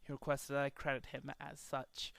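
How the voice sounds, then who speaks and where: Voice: medium pitch, completely flat delivery, normal speed, gravelly, no echo. An amateur reader narrating a fan fiction story as a dramatic reading, with occasional stumbles over the text.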